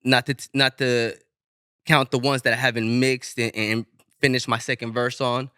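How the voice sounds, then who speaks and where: Podcast guest: man, bright crisp tone, dry studio sound, loose and casual. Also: mid-range voice, normal speed, no room sound. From Inglewood, California. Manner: relaxed, loose, swears freely, many restarts. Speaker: rapper guest.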